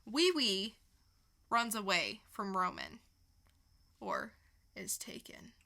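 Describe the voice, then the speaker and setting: A woman's voice: mid-range voice, wildly swooping pitch, slow pace, gravelly, pushed and bright. A woman co-hosting a podcast, chatting casually through a comic book review and retelling it with casual swearing. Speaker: podcast co-host.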